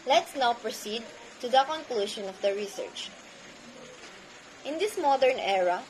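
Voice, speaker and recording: A young woman with a fairly high voice reads her research conclusion slowly and deliberately. A faint noise sits under her webcam audio. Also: poor audio. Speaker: student presenter. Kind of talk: reading a research presentation.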